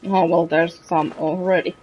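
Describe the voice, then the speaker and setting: A woman's voice: lowish voice, flat delivery, measured pace, darkish tone, husky, low-quality recording. A woman streaming a game, giving casual commentary and reading lines aloud.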